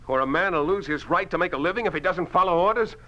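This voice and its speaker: An actor playing a principled, outspoken newspaper editor in a 1950s radio drama, with an emphatic, oratorical American delivery. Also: male voice, high-pitched, fast talker, pushed and bright. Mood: bitter, impassioned. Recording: dull lo-fi 1950s radio recording.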